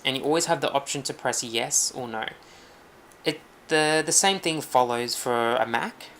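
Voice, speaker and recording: Gravelly-voiced man explaining settings. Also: mid-range voice, normal speed, slight background noise. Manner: relaxed, rambling, many ums. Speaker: tech tutorial host. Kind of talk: casual screen-recorded walkthrough.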